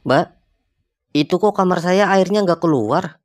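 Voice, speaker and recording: A man's voice: sits high, measured pace, no echo. A man with a Jakarta accent playing a spooked young hotel guest in a cartoon horror comedy. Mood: polite, casual. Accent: Jakarta Indonesian. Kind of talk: animated horror-comedy voice acting.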